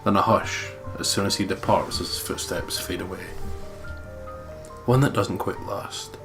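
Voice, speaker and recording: A man's voice: sits low, unhurried. A man co-hosting a let's play, acting out script lines expressively and chatting casually between them. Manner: expressive, emotive line reading. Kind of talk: dramatic read-aloud of game script with casual chat. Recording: game music behind the voice. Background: video game music.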